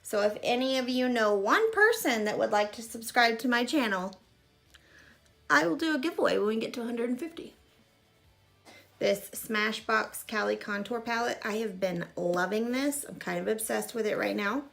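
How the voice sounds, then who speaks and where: A woman's voice: on the high side, expressive, normal speed, gravelly, a bit bright. A woman in her mid-thirties chatting casually through a makeup tutorial, with self-deprecating asides, recorded by a fixed camera in a small room. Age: 30s. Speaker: makeup vlogger. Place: small room in front of a gray curtain. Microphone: fixed camera at arm's length.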